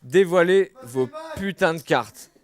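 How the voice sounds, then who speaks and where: A man calling a live poker game as dealer and announcer, animated and informal, with lots of exclamations. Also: a little high, slow pace. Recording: music playing faintly behind the voice. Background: faint music.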